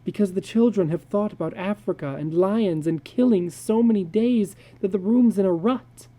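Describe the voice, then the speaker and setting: A man's voice: high-pitched, moderate pace, mellow, pitched above the performer's own voice, natural voice. A man voicing a thoughtful, worried wife and mother in a story, in a tentative, reasoning way. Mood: worried, speculating.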